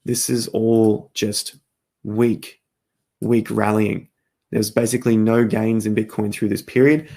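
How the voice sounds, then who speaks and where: A man hosting a casual crypto live stream, walking through charts while hoarse from losing his voice, clearing his throat often. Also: lowish voice, little pitch movement, a little slow, dark tone. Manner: throat clearing, rambling explanations.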